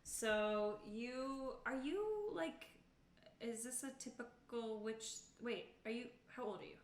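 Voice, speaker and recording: A woman's voice: a little high, animated, takes it slowly. Distant, echoey woman chatting at a game table. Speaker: player in a tabletop roleplaying game. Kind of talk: casual table talk while creating a character. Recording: roomy echo, distant mic, noisy background.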